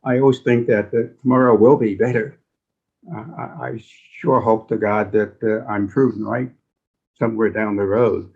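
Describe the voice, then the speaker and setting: A man's voice: lowish voice, steady tone, moderate pace, breathy edge. An older man, a veteran journalist on a panel, talking reflectively in an earnest, folksy way. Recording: slightly dull, lo-fi audio.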